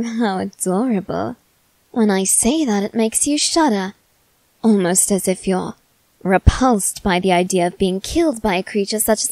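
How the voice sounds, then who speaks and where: Woman voicing a young male demon servant, teasing, haughty, mock-polite, theatrical, mid-pitched, dry close ASMR roleplay. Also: darkish tone, dry recording. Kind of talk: ASMR character roleplay addressed to the listener.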